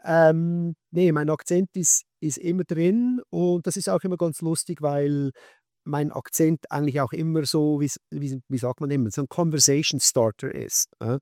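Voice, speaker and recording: A middle-aged man with a Swiss German accent answers interview questions in a relaxed way. He often stops, restarts and searches for words. His voice sits a little high, and the recording is dry and close. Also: moderate pace, no echo. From Switzerland. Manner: hesitant, many fillers and restarts. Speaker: guest, skate shop owner and magazine maker. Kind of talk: casual interview.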